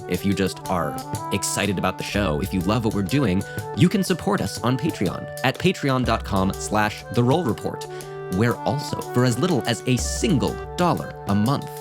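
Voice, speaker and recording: A man hosting a podcast in a conversational, effusive and appreciative way. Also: lowish voice. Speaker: podcast host.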